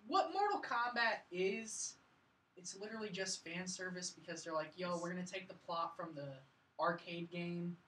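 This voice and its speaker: A woman hosts a casual film chat in a roomy, echoey space, a little away from the mic. Her pitch is on the low side and moves around a lot. She speaks informally and with animation. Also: normal speed, fairly bright tone. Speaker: podcast host. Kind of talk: casual movie review chat. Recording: roomy echo, distant from mic.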